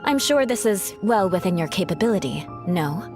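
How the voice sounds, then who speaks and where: A woman's voice: fairly low pitch, lively intonation, normal speed, lightly acted. An actress playing a proud, self-reliant young noblewoman warrior in a fantasy game, poised, formal and haughty. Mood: self-assured. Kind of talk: fantasy video game dialogue. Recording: game audio with faint music.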